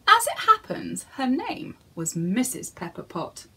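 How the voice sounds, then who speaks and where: A woman's voice: high voice, wildly swooping pitch, measured pace, bright, forceful tone, breathy edge. A woman reading a storybook to young children in a theatrical way, addressing her listeners directly.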